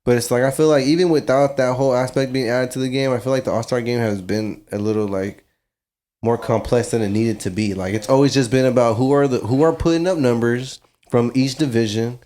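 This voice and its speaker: A man's voice: mid-range voice, brisk, warm tone. A man co-hosting a podcast, rambling in casual chat and hedging his opinions. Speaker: podcast co-host.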